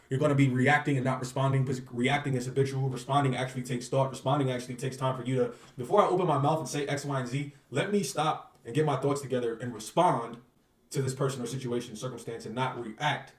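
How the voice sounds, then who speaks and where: A man's voice: medium pitch, echoey room, distant mic. A man speaking as a guest in a casual interview, earnest and repetitive, often asking "you know what I mean?". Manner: earnest, repetitive, uses filler phrases. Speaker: manifestation coach, guest.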